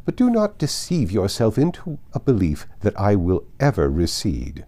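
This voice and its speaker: A man's voice: lowish voice, wildly swooping pitch, normal speed, mellow. Man putting on an imperious, indignant old noblewoman, emphatic delivery. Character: haughty, indignant older aristocratic lady. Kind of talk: dramatized audiobook reading.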